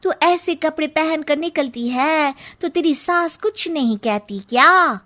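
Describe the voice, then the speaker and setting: A woman's voice: high voice, a little slow, pushed and bright. A woman voicing an admiring, envious young female friend in a cartoon story. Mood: admiring, teasing.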